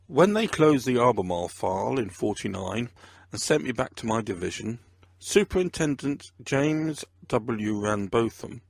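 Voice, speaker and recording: A man's voice: on the low side, creaky, husky, dry recording. A man reading scripted first-person narration of a crime story.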